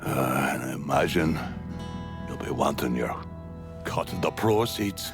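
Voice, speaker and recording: An actor playing a bitter, foul-mouthed middle-aged Irish gangster in a video game, with a brooding delivery and an Irish accent. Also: male voice, very deep voice, animated, exaggerated voice. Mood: wry, grudging. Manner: slow, brooding, drawn-out delivery. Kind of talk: cinematic video game acting. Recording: music behind the voice.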